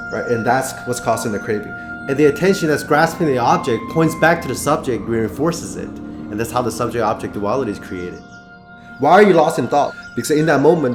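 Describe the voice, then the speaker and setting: A man explains earnestly and poses rhetorical questions. His voice sounds roomy and echoey. Music plays under him. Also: medium pitch. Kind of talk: spiritual teaching monologue.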